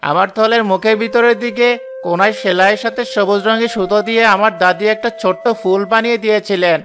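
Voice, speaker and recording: A man's voice: high-pitched, steady tone, fast talker, music in the background, close to an everyday voice. A man voicing a brazen, scheming accuser in a cartoon tale, insistent and indignant. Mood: scornful, accusing. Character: brazen scheming male accuser falsely claiming a purse. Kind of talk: dramatic cartoon voice acting.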